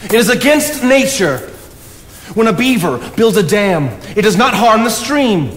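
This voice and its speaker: A man's voice: high voice, expressive, a little slow, a bit bright. An actor in his thirties playing an indignant, idealistic writer, arguing formally and emphatically in theatrical style. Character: indignant, idealistic young nature-loving writer. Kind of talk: theatrical stage acting. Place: theatre stage, indoors. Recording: echoey theatre stage, background hiss.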